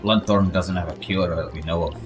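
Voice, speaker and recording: A man puts on a small otherworldly shopkeeper, gruff and frank, with a lowish voice. The creature talks in blunt, matey, colloquial phrases, as in a fantasy audio drama, over faint music. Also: fairly even intonation, brisk, lightly acted. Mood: grave, blunt. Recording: music behind the voice.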